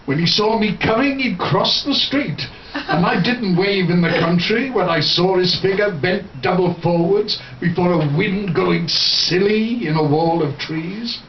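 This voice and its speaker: Casting: male poet, high animated voice, theatrical and deliberate, reciting live, heard from far off in a bar. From Wales. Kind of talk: live poetry reading with spoken introduction. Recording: roomy, distant, muffled, faint noise.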